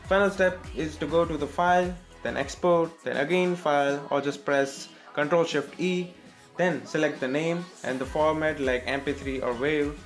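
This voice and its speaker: A man with an Indian accent narrating a step-by-step software tutorial as its host, in a scripted style. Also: on the high side, steady tone, normal speed, soft background music.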